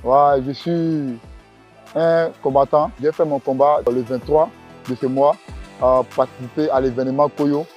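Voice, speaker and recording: A man with an Ivorian accent, an amateur fighter, introducing himself to camera in a halting, simple way. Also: medium pitch, fairly even intonation, muffled recording, soft background music. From Ivory Coast.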